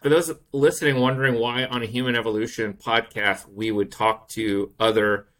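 A man's voice: medium pitch, steady tone, moderate pace, fairly bright tone. A man co-hosting a science podcast, introducing the guest and explaining things with enthusiasm. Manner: enthusiastic, explanatory, building up to a reveal. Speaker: podcast co-host.

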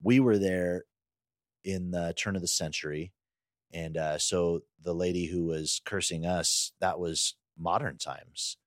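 Very deep-voiced man chatting, dry sound. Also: steady tone, a little slow, dry recording. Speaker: podcast co-host. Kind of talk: casual conversational chat.

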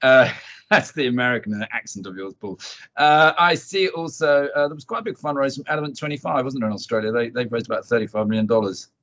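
Male host, average pitch, relaxed conversational steering, muffled recording. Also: fast. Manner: conversational, steering with tag questions. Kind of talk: casual chat.

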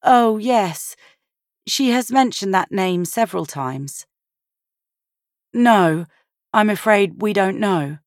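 A woman voicing a kind, calm woman, a caring granddaughter in an audiobook, polite and gently earnest. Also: medium pitch, slow pace, long pauses, no echo, studio quality, pitched above the performer's own voice, lightly acted. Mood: polite concern.

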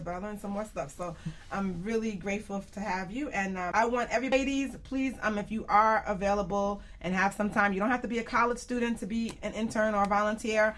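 A woman hosting a casual radio show in a chatty, giggly way, often laughing as she talks. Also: medium pitch.

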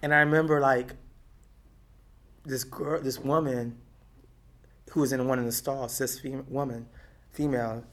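A middle-aged woman from Texas with a deep, raspy voice, talking and laughing her way through memories in an oral history interview. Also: measured pace, pauses often. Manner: lively, talkative, laughs often. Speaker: activist interviewee.

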